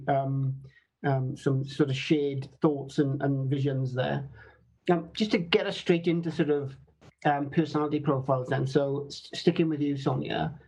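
A man's voice: medium pitch, darkish tone, rough recording. A man hosting a podcast over a video call, introducing guests in a friendly, upbeat way. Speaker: podcast host. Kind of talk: podcast interview.

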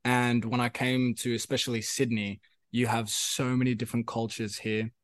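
A man's voice: medium pitch, level tone, moderate pace, no echo, clean studio sound. Young Afrikaans-speaking South African man. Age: young adult. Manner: rambling, repeats himself, many fillers. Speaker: musician guest. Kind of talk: casual interview chat.

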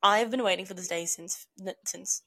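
Hoarse, giggly woman podcast host. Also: medium pitch, moderate pace. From New Zealand or Australia. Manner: bubbly, giggly, excitable. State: hoarse, has lost her voice. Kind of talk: casual chat.

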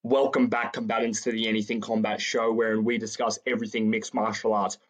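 A man's voice: fairly low pitch, level tone, fairly quick. A man hosting a combat sports interview show, asking questions in an upbeat, slangy way and often repeating words as he starts. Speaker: host.

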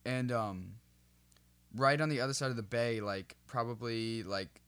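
Young man, medium pitch, relaxed conversational podcast talk with explanatory asides. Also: unhurried, clean tone. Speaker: podcast co-host.

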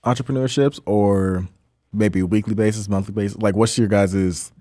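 A man's voice: on the low side, steady tone, moderate pace, warm tone, no echo, clean studio sound. A young man asking curious questions in a casual podcast conversation.

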